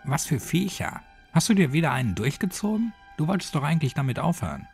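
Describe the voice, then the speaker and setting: A man's voice: on the high side, very animated, quick pace, vocal fry, a little mellow, soft background music, voice pushed up. A man voicing a blunt, slangy young village man in an audio horror story. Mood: incredulous. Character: skeptical young village man, dismissive, turning panicked.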